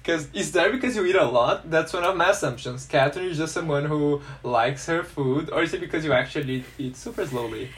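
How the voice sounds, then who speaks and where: A man's voice: a little high, fairly quick, hardly any pauses. A man hosting a podcast interview, friendly and playful, with some hesitant restarts. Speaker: podcast host.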